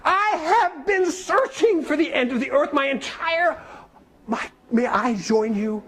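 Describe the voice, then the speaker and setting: A storyteller doing a stubborn young man on a long quest, earnest and quick to protest. He talks in a high, lively voice that swings up and down. It sounds like a folk tale told aloud. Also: full character voice. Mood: bewildered. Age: young adult.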